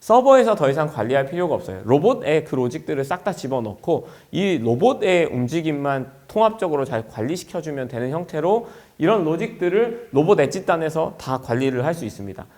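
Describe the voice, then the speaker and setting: High-pitched, animated man giving a product presentation. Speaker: company executive presenting at an industry forum.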